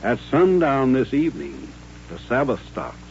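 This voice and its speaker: An actor playing a solemn, courteous Southern gentleman colonel with a formal, reflective manner in an old radio western. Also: mid-range voice, wildly swooping pitch, moderate pace, slight background noise. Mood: solemn, earnest. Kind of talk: 1950s radio western acting. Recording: dull, humming old-time radio recording.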